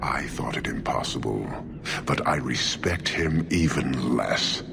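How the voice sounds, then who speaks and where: A man voicing a huge, stern, proud inhuman warlord in a video game, gravelly, measured and imperious. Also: extremely low pitch, animated, exaggerated voice. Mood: cold contempt. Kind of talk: dramatic video game cutscene acting. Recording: noisy game ambience behind.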